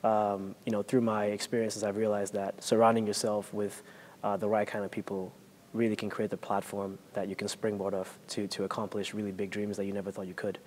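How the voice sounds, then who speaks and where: A man's voice: level tone, brisk, darkish tone. A young man from Nigeria, an MBA student, speaking earnestly to camera in a large elegant room, with some fillers. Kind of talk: testimonial to camera. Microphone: hidden mic, camera at mid distance. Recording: reverberant room, faint rumble.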